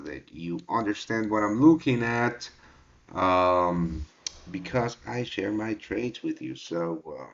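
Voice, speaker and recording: A man hosting a trading livestream in a relaxed, halting way, with many fillers as he answers the chat. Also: fairly low pitch. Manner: reads and answers chat directly. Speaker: trading show host. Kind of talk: casual livestream market talk. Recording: dull lo-fi livestream audio.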